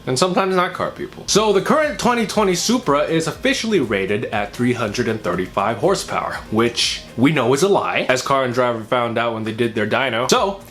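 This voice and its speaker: Young American male host, casual and upbeat, quick jokes between news items. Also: mid-range voice, expressive, a bit bright, soft background music. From United States. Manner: upbeat, jokey asides. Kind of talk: casual weekly car news roundup.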